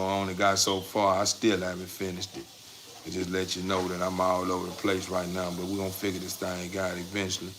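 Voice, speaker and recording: Low-voiced American man, flat and rambling. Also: a little hiss or hum. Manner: folksy, repetitive catchphrases, encouraging. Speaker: livestream host of a dog breeder channel. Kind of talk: casual rambling livestream talk.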